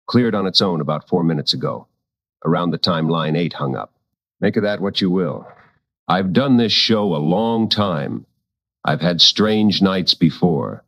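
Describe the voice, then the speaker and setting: A veteran host of a late-night paranormal show in a radio drama. His voice is fairly low and sits dry and close. He talks deliberately, firm and wry, protective of his listeners. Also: a bit bright, no room sound, heavily acted. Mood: weary, solemn.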